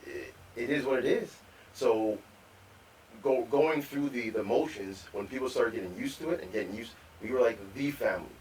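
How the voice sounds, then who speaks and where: A man co-hosting a live podcast, telling rambling stories about growing up, with repeated words and animated quotes. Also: mid-range voice, moderate pace, slight background noise. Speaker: podcast co-host. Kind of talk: storytelling in casual chat. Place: live podcast recording venue. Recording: distant mic with faint room ambience.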